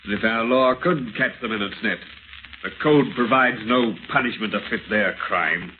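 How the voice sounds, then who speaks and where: Casting: man playing anguished, vengeful husband, lowish voice, theatrical radio thriller, very noisy vintage recording. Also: lightly acted. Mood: cold, resolved. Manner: intense, deliberate, theatrical. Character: grief-stricken, vengeful husband, a lawyer. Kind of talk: 1930s-40s radio acting, melodrama. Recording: noisy, scratchy, tinny old-time radio recording.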